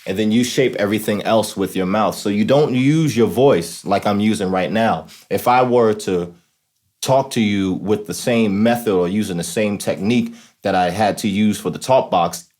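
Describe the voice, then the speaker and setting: A man's voice: lowish voice, moderate pace, a little mellow. A man hosting an instructional demonstration, explaining things informally and often checking in with the listener. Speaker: host of a talk box tutorial series.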